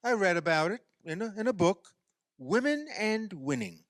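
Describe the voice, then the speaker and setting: Actor as a sarcastic sore loser, animated man. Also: sits high, moderate pace, no echo, studio quality. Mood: accusing. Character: self-pitying, sarcastic male amateur basketball player, sore loser. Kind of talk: radio play dialogue.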